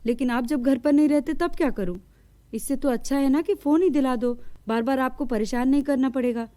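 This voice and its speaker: A woman plays an aggrieved young daughter-in-law from a cartoon moral tale. Her voice is high yet mellow, with a plaintive, earnest delivery and a dry, close sound. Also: brisk, no echo, natural voice. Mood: pleading. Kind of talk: Hindi moral-story cartoon acting.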